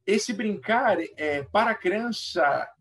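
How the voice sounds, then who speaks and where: A Brazilian man talking casually as an interview guest and author, in a halting manner that repeats words. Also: high voice, expressive, fairly bright tone. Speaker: guest author and play educator. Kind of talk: casual explanatory interview talk. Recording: voice somewhat far from mic.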